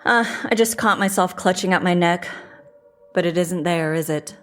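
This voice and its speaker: A woman's voice: on the low side, moderate pace, lightly acted. A woman acting in an audio drama as a practical, dry-humoured woman who takes charge, in naturalistic dialogue. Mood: wry, rattled.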